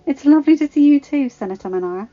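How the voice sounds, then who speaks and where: A woman's voice: sits high, animated, quick pace, mellow, dull audio, voice pushed up. A woman voicing a proud young female senator in an audio story, formal, firm and icy. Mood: polite, strained. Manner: stern, formal.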